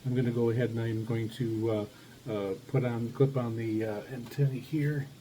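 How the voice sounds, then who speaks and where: A man giving a casual hands-on demonstration, talking haltingly as he thinks aloud while handling gear. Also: mid-range voice, little pitch movement, warm tone. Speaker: radio hobbyist host. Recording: faint background noise.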